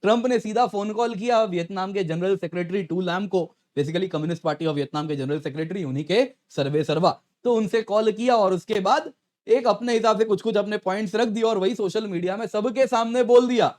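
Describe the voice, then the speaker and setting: A man's voice: sits high, fairly quick, darkish tone. A man presenting current affairs as an educator, conversational, addressing viewers directly and posing rhetorical questions. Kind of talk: explanatory news commentary to viewers.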